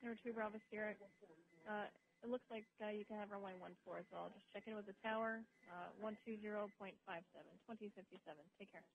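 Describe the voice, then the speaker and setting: A woman's voice: mid-range voice, fast. A woman working as an air traffic controller, giving flight instructions over the radio in procedural phrases with informal asides. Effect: aviation radio. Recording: narrow-band air traffic radio, hiss.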